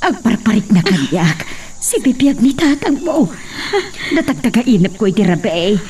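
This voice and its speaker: A woman's voice: on the high side, big pitch swings, unhurried, darkish tone. A woman playing an anguished, fearful mother in a radio melodrama, pleading emotionally with her child. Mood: urgent, troubled. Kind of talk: melodramatic radio drama acting. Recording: distant mic, faint background noise.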